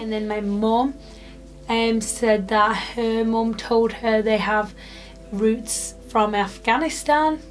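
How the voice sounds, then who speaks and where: A British woman in her twenties from the UK, chatting casually to camera in a rambling vlog with lots of fillers. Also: on the high side. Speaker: YouTuber.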